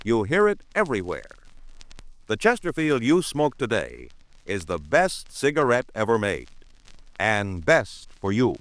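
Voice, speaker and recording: A man's voice: fairly low pitch, wildly swooping pitch, unhurried, no room sound, poor audio. A man announcing a 1950s radio commercial. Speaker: advertising announcer.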